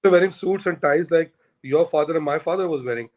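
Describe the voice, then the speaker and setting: Emphatic man, fairly high. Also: fairly quick. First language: Urdu. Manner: emphatic, argumentative, repeats points. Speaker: guest. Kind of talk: conversational discussion.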